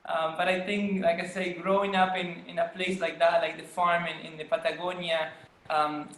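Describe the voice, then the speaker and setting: A woman's voice: fairly low pitch, level tone, moderate pace. Woman in an echoey room. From Argentina. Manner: warm storytelling with frequent ums and soft laughs. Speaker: guest, dancer. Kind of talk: casual interview chat.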